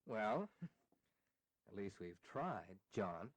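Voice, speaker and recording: Thoughtful, animated statesman. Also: lowish voice, no room sound, exaggerated voice. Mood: wry. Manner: deliberate, rhetorical. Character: thoughtful, wry statesman championing limited government. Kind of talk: historical audio drama acting.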